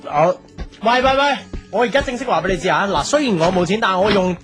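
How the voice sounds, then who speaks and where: A man playing a hapless, defensive young cafe owner in an audio drama, speaking Cantonese with a Hong Kong accent in an expressive, exasperated way. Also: sits high, fast. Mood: flustered, protesting. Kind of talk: audio drama with introspective narration and dialogue. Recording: music behind the voice.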